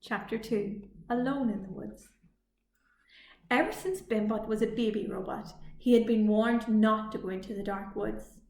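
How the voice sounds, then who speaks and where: A woman reading a children's story aloud as a librarian, with expressive storytime narration. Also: mid-range voice, moderate pace. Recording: echoey room, faint noise.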